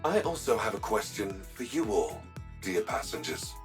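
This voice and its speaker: A man playing a polite, formal ship's machine intelligence in a video game, speaking in measured, courteous phrases. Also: on the low side, sing-song, distant mic, soft background music. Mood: courteous, composed. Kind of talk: video game cutscene acting.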